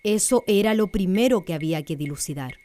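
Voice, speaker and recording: A woman's voice: medium pitch, expressive, brisk, warm tone. A woman narrating a radio drama in a scripted storytelling style.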